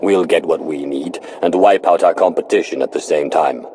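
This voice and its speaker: An actor playing a commanding, grim dark lord in a video game, speaking with measured authority. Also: male voice, very deep voice, a little hiss or hum. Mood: cold, calculating. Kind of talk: scripted video game dialogue.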